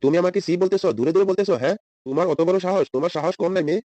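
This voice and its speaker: A man plays a proud, indignant old cartoon doctor. He scolds huffily and repeats himself at a very fast clip, in a fairly high voice with a dry, close sound. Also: dark tone, no room sound, muffled recording, natural voice. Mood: offended. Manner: huffy, scolding, repetitive. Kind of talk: comedy cartoon dialogue.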